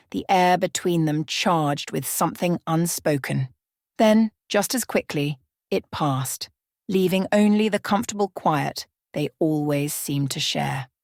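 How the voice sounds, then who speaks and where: Female ASMR narrator: slightly low register, measured dramatic storytelling, hushed, very dry close-mic sound. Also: no echo. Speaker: storyteller, channel host.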